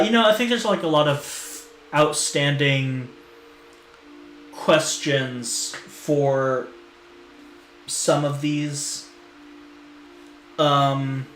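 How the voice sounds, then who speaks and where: A man talking casually on a stream, hesitant and full of fillers and restarts. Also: medium pitch. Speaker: lore streamer. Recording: echoey room with faint noise.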